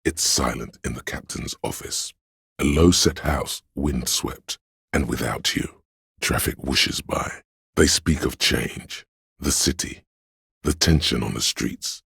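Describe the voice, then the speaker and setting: An extremely low, husky voice plays a grave, all-knowing narrator in a police video game. He is solemn and deliberate with his literary phrasing, and his tone is thick with gravel. The recording is dry and close. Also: fairly bright tone, no room sound, heavily acted. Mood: solemn, brooding. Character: grave, omniscient male narrator voice of police camaraderie. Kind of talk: dramatic scripted game narration.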